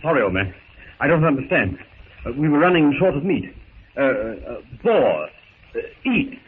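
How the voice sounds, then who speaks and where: Radio adventure role, male actor: well-mannered English hunter, clipped hesitant delivery, medium pitch, scratchy narrow sound. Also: very animated, moderate pace, lightly acted. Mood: grateful, awkward. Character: polite, understated English gentleman hunter. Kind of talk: 1930s radio serial acting. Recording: scratchy, tinny 1930s radio recording.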